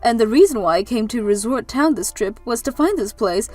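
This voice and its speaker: A woman playing a kindly, gracious elderly grandmother in a manga dub, speaking gently and expressively. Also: fairly high pitch, expressive, a little fast. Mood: wistful. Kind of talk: anime-style manga dub acting. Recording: background music under the voice.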